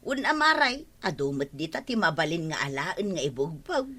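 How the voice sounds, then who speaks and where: An actress playing a plain-spoken, argumentative village wife in an Ilocano radio comedy drama, with an Ilocano accent. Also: female voice, low-pitched, very animated, moderate pace, bright, forceful tone, full character voice. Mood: matter-of-fact.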